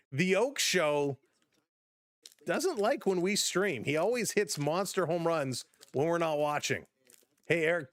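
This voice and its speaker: A chatty, upbeat man calling a baseball game live for his stream, breaking off to welcome viewers by name. His voice sits fairly high and has gone slightly hoarse from talking. The sound is dry and close, with no room echo. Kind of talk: casual play-by-play with chat reactions.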